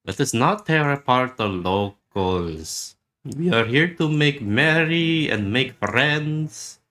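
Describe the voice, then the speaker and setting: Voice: medium pitch, lively intonation, takes it slowly, a bit bright. A player in a tabletop game, making dry, wry remarks and speaking in character. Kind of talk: casual chat and in-character talk in a tabletop game.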